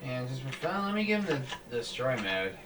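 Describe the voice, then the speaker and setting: A man's voice: medium pitch, sing-song, normal speed. A man hosting a casual gaming video, thinking aloud as he builds a character and often restarting his sentences. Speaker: gaming channel host. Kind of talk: casual let's-play commentary. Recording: echoey room, voice far from mic, game music behind. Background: game music.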